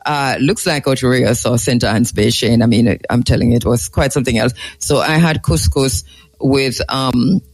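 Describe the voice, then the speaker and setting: A man hosting a conversational live radio talk, speaking reflectively and haltingly, repeating words for emphasis. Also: mid-range voice. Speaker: radio host.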